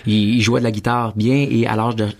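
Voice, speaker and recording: A man's voice: mid-range voice, little pitch movement, a little fast, vocal fry, darkish tone. A middle-aged man with a Quebec French accent, a musician guest telling personal stories casually in a studio interview. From Quebec, Canada. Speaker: guest, singer-songwriter and guitarist. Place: broadcast studio.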